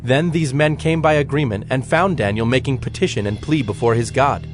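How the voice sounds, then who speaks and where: Man narrating scripture over music. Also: medium pitch. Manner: formal, measured storytelling. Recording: music bed behind the voice.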